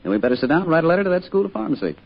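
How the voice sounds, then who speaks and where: An actor playing a middle-aged American small-town father in an old-time radio drama, gruff but kindly, with a dry, folksy manner. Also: fairly high pitch, big pitch swings, racing, loud music behind the voice. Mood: wry, reminiscing. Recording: dull, hissy old radio recording.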